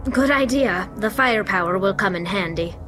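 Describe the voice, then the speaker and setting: A woman's voice: mid-range voice, lively intonation, moderate pace, close to an everyday voice. An actress voicing a young alien female scientist, thoughtful and explanatory. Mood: earnest. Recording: game audio with strong music.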